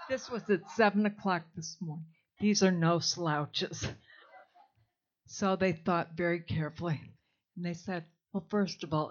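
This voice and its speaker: Older woman, low voice, candid speaker at a gathering, muffled lo-fi recording. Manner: candid, chatty and warm-humored, with soft laughs. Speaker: invited speaker. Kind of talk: informal talk to a live audience. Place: hall with a live audience. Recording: dull lo-fi sound.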